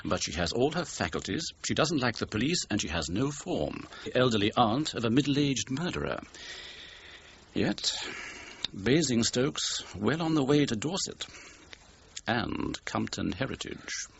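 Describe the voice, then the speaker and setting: An actor playing a measured, candid lawyer in a radio crime drama, speaking formally and articulately. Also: male voice, medium pitch, very animated, creaky. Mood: dry, pondering. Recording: dull, hissy radio drama recording.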